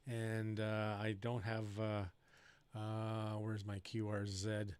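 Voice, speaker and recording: A man's voice: lowish voice, monotone, takes it slowly, a little mellow, clear, resonant tone. A man hosting a casual amateur radio chat from his home station, thinking aloud in an easygoing way while he adjusts his settings.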